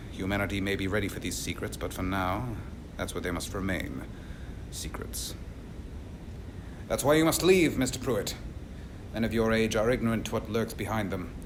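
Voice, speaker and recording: A menacing man of secrets played by an actor in an old-time radio drama. His lowish voice is haughty, articulate and ominous, set against clearly audible rumbling noise. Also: close to an everyday voice. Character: menacing, condescending, tall mysterious man of secrets. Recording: clearly noisy, rumbling background.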